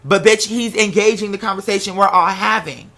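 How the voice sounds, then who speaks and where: An American podcast host telling a personal story casually, in an animated, candid and profanity-laced way. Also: mid-range voice, fairly quick. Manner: acts out quoted remarks while telling.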